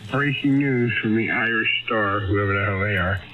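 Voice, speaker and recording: A man's voice: on the low side, moderate pace, narrow, tinny audio. A man chatting casually as an amateur radio operator over a radio link. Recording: ham radio audio with background voices.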